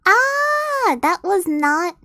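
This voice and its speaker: A woman's voice: extremely high pitch, sing-song, drawn-out words, pushed and bright, no echo, studio quality. A woman streamer reading fanfiction aloud, giggling and stumbling over the words as she goes.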